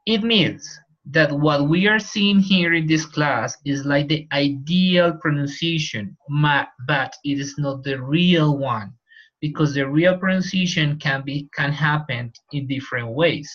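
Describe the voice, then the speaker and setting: A man's voice: fairly high pitch, unhurried, a bit bright. A male teacher with a Colombian accent, explaining points in an online class and repeating key terms. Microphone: video call. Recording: muffled video call audio.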